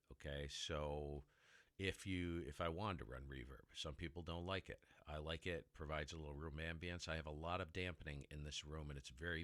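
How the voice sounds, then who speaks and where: A man with a very low baritone voice hosting a casual ham radio tutorial, rambling and sidetracking as he explains, recorded in a heavily dampened room. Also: fairly bright tone, no echo. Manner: rambling, self-interrupting explainer with mic tests. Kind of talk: casual how-to demonstration.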